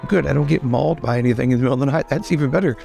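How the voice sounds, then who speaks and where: A man's voice: mid-range voice, racing, creaky, dark tone, faint music behind. A man chatting casually as a player in a tabletop role-playing game, improvising his character's story.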